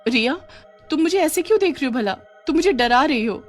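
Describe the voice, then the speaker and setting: A woman acts a timid young roommate in a cartoon horror tale. She sounds scared and pleading, pitched high. Music plays beneath the voice. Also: expressive, fairly quick. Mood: nervous. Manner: pleading, nervous. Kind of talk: Hindi cartoon story acting.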